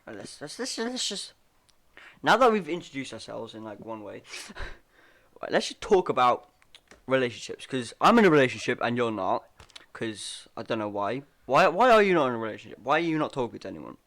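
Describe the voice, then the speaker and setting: A young man hosts a loose, chatty podcast, meandering through his stories and laughing along the way. His voice sits at an ordinary middle pitch, and the talk is loose and full of filler. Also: very animated, a little fast, a bit bright. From Wales. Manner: rambling, laughing, lots of "bro" and "like". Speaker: podcast host. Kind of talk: casual chat.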